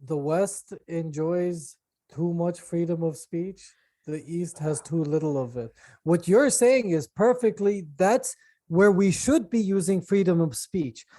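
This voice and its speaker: A man from the United States, a podcast co-host telling stories in casual chat, animated and jokey. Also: high-pitched, measured pace.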